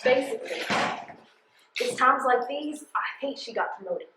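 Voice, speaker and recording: High-voiced, guarded American woman postal carrier played onstage. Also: lively intonation, normal speed, noisy background. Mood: suspicious, insistent. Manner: streetwise, defensive, emphatic. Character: guarded, suspicious, hard-working single female postal carrier. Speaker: stage actor. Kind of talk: stage play dialogue, colloquial. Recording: roomy stage sound.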